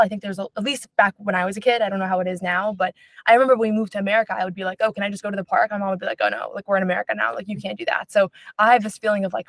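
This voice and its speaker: Fast-talking young woman, chatty. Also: mid-range voice, dry recording. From Israel. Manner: fast, chatty, lots of fillers like "um" and "like". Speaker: basketball reporter. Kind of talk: podcast interview answers.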